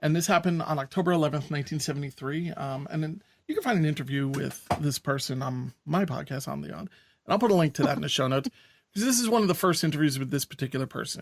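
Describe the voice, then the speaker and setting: A man's voice: fairly high pitch, creaky, no echo. A man co-hosting a podcast, giving blunt opinions in a casual, easygoing way. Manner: blunt, opinionated, laughs easily. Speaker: podcast co-host. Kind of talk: casual chat.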